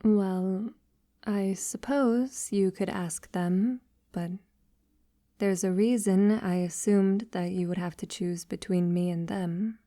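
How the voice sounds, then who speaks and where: A woman playing a tender, devoted vampire lover in a romantic ASMR roleplay, speaking softly and formally and slipping into whispers. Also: medium pitch, fairly even intonation, dry recording, studio quality, natural voice. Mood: thoughtful, gentle. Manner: soft, slow, breathy delivery slipping into whispers.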